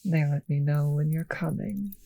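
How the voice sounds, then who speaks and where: Woman playing a breathy, sultry vampire mistress. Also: low voice, mellow. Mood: doting, possessive. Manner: slow, sultry, condescending, often dropping into breathy whispers. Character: possessive, teasing female vampire mistress. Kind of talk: roleplay audio drama, intimate monologue to listener.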